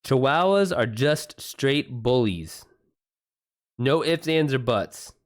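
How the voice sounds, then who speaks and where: A man's voice: medium pitch, expressive, takes it slowly. A man chatting casually as a live streamer, in a slangy, profane and emphatic way. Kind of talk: casual livestream chat.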